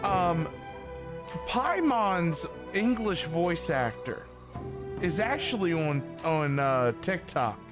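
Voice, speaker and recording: A man's voice: a little high, expressive, takes it slowly. A man streaming a game and chatting casually in a rambling, informal way. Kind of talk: casual livestream chat. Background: faint music.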